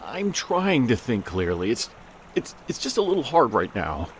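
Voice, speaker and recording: A man's voice: medium pitch, big pitch swings, normal speed, a little hiss or hum, natural voice. A man playing an anxious, guilt-ridden man of God in an audio drama, hesitant and stammering. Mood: nervous, flustered.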